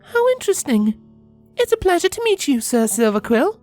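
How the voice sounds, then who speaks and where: A man's voice: very high voice, sing-song, normal speed, a little mellow, faint music behind, pitched above the performer's own voice. A man voicing a refined, theatrical female unicorn fashion designer with an affected, gushing, posh manner, in a story reading. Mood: charmed, coquettish.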